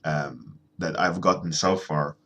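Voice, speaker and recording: A man in his thirties from Sweden with a deep voice, chatting casually and rambling as he streams himself drawing, answering his chat at a desk microphone. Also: pushed and bright, dull audio. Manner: rambling, halting, many ums and restarts, chuckling, answering chat. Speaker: artist streaming a drawing session.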